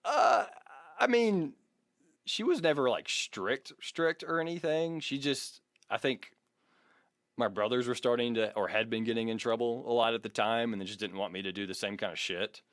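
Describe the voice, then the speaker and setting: A man's voice: mid-range voice, moderate pace, fairly bright tone, no room sound, studio quality. An American man co-hosting a comedy podcast, reading a biography with mock grandeur, swearing and slipping into casual banter. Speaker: podcast co-host.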